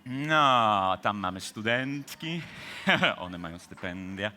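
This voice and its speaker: A relaxed, teasing Parisian charmer in a radio operetta, played by a man. He talks unhurriedly with a bright, lively tone, chuckling as he coaxes his guest. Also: fairly low pitch, takes it slowly, full character voice. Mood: coaxing. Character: smooth, laid-back Parisian man-about-town, a man. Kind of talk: comic radio operetta acting, breaking into song.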